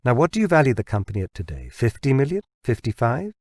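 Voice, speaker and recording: A man's voice: mid-range voice, wildly swooping pitch, a little mellow, no echo, studio quality, pitched above the performer's own voice. Man voicing a shrewd, persuasive researcher. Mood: confident, insistent. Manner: deliberate, insistent salesmanship. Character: shrewd, persuasive male biotech researcher pitching his boss. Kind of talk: audiobook character dialogue.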